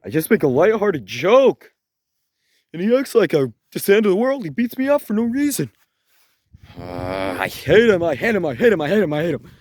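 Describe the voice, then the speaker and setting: A young man playing a silly, self-styled traumatized veteran in an amateur comedy skit, jokey and switching between loud lines and mutters. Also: high voice, wildly swooping pitch, moderate pace, pauses often, no echo, clean studio sound. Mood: scheming. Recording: loud music behind voice.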